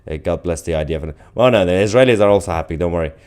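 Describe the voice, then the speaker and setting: A man's voice: sits low, very fast, a little mellow, smooth. A man hosting a live stream in an informal, off-the-cuff way, reading out viewer comments and giving casual commentary.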